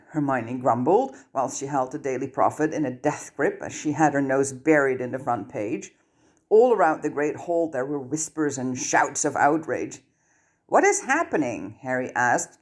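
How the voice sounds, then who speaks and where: A woman's voice: rumbling low, normal speed. A woman narrating fan fiction as an audiobook, reading scripted prose.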